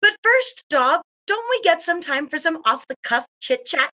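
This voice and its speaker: A woman acting as an eager radio co-host in an audio comedy. Her voice is high and bright, and she talks theatrically, like an insistent, knowing gossip. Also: big pitch swings, normal speed, heavily acted.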